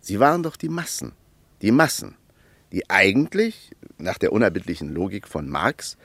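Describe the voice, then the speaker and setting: An actor playing an argumentative intellectual in a radio play, lecturing in a rhetorical, emphatic and critical manner. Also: male voice, low-pitched, big pitch swings, moderate pace, fairly bright tone, husky, dry recording, exaggerated voice. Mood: emphatic, insistent.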